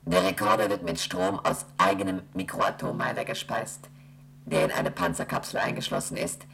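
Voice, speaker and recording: A woman voicing a space station computer in a radio play, speaking in measured, mechanical announcements. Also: rumbling low, very animated, creaky, fairly bright tone, full character voice. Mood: flat. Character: flat, monotone female space station computer.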